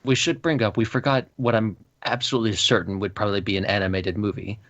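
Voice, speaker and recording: Low-voiced man, dry lo-fi mic. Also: steady tone, fast, a bit bright, no room sound. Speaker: podcast co-host. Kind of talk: casual discussion.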